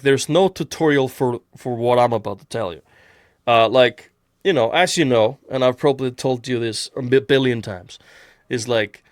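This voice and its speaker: A man's voice: mid-range voice, no room sound, clean studio sound. A man from Iceland hosting a casual podcast chat, rambling with many restarts. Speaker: podcast host.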